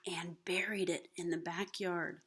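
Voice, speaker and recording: A woman reading a children's picture book aloud expressively, animated and slipping in hushed asides to the listeners. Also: lowish voice, a little slow, a little hiss or hum. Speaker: storybook reader.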